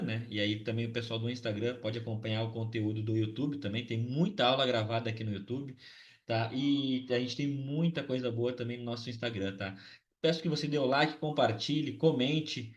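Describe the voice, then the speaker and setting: A slightly hoarse man with a Brazilian Portuguese accent, hosting a casual trading recap and talking chattily with many tag questions. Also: mid-range voice, moderate pace, highs cut off. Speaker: trading channel host.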